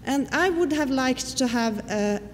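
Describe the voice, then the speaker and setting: A woman's voice: sits high, lively intonation, measured pace. Woman lecturing in an echoey hall. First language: Swedish. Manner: explanatory, with frequent fillers and questions to the audience. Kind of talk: conference lecture. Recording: reverberant hall with faint noise.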